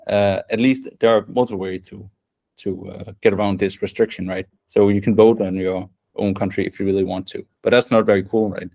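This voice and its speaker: A man giving a rambling workshop talk, voice on the low side. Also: fairly even intonation, fairly quick, a little mellow. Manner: casual, thinks aloud with many fillers and restarts. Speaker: workshop presenter. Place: event room.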